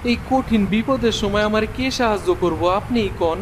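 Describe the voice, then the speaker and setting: Man acting high-voiced poor villager with rural Bangladeshi accent. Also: normal speed, background noise, natural voice. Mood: pleading. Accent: rural Bangladeshi Bengali. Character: poor, weary, pleading village husband. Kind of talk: cartoon dialogue acting.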